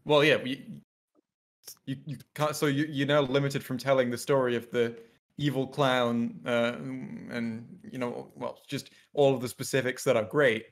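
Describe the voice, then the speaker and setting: A man with a medium-pitched voice talks in a casual panel debate. He presses his argument in a probing, questioning way. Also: flat delivery, measured pace. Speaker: discussion panelist.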